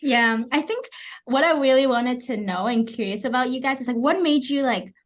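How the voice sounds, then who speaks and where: Eager higher-voiced woman host. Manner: eager, halting, restarts sentences. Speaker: podcast host. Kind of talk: casual interview chat.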